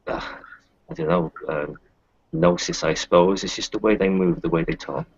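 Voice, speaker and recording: Deep, gravelly man in casual roleplay. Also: big pitch swings, moderate pace, no room sound. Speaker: tabletop roleplaying player. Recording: dull lo-fi voice recording.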